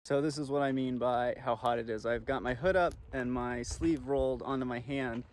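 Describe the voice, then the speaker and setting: A man's voice: medium pitch, steady tone, normal speed. A man explaining things to camera as a video journalist, in an on-location presenting style. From desert region. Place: outdoors in open desert, sometimes near vehicles. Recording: outdoor field recording with clear ambient noise.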